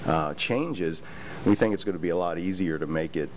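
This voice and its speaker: A man introducing himself on a conference panel as a researcher and professor, explaining in a steady way with some fillers. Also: low-pitched, moderate pace, noisy background. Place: conference hall with audience.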